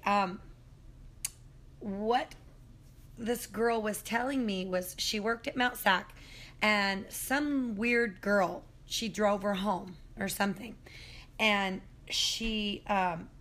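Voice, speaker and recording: A hoarse middle-aged woman telling a spooky story at her kitchen table, rambling with many ums and self-corrections, recorded on a phone. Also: medium pitch, slow, long pauses, a bit bright. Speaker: guest storyteller. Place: apartment kitchen at home.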